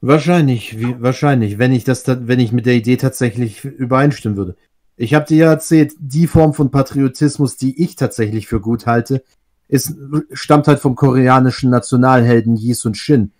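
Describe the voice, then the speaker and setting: A man's voice: medium pitch, normal speed, darkish tone. A man from Russia talking in a casual online group chat, thoughtful and often restarting his sentences. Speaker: discussion guest.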